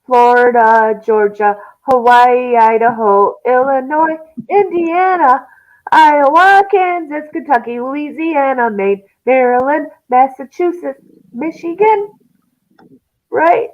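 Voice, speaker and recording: A woman's voice: high voice, takes it slowly, lo-fi sound. A woman in casual chat who recites lists from memory in an easygoing way.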